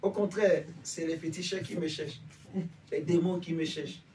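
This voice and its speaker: A man's voice: on the high side, fairly even intonation, normal speed, darkish tone. Beninese man preaching casually from afar. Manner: conversational, asks listeners for agreement. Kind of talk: informal sermon with congregation. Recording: distant mic with faint background noise.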